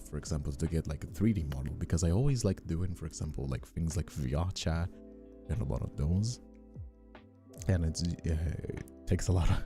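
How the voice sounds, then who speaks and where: Deep, dark-voiced man, creaky and halting. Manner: hesitant, halting, often repeats words. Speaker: streamer, host. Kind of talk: casual live stream chat.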